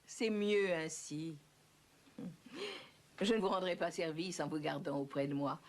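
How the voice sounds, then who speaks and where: A woman voicing an affectionate, kindly old grandmother pig, gentle but firm, in a French children's cartoon. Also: sits low, fairly even intonation, a little slow. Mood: solemn, tender. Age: older. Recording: music behind the voice.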